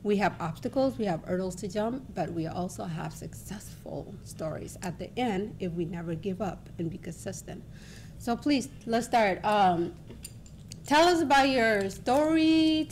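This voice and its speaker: A woman hosting a talk show in an informal, encouraging, conversational way over a rumbly background. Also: animated, normal speed, slight background noise.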